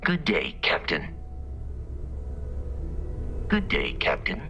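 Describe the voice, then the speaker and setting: An actor playing a polite, literal-minded exploration robot in a video game, speaking in formal, precise, measured deadpan. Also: male voice, sits low, gravelly, a little hiss or hum, heavily acted. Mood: deadpan, courteous. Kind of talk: scripted video game character dialogue.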